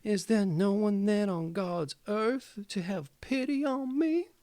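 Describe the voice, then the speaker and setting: A man's voice: sits high, slow pace, dark tone, no room sound, voice pushed up. A man voicing a miserable, put-upon young girl in a fairy tale, plaintive and pleading. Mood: anguished.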